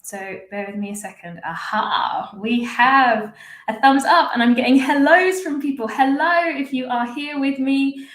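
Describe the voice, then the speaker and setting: A woman's voice: high voice, measured pace, echoey room. A woman hosting a live-stream interview as a language teacher, upbeat and chatty. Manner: upbeat, chatty, laughs often.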